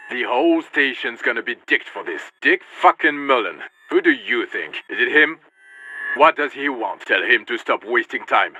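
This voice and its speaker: A man's voice: medium pitch, sharp tone. An actor playing an irate, fed-up policeman in a video game, curt and scornful, heard through a radio filter. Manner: curt, scornful, foul-mouthed. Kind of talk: dramatic video game acting. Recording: muffled, noisy radio transmission.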